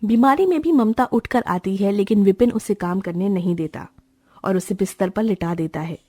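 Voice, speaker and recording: A woman narrating an animated moral story in a steady storytelling voice. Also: medium pitch, moderate pace, dry recording. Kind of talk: scripted narration.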